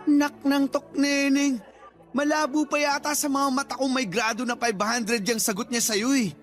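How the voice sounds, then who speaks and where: A man's voice: very high-pitched, steady tone, normal speed, fairly bright tone, soft background music. A man with a Filipino accent playing a blunt, protective friend in a radio drama, talking frankly and in slangy, scolding advice. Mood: exasperated, warning. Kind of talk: radio drama acting in casual dialogue.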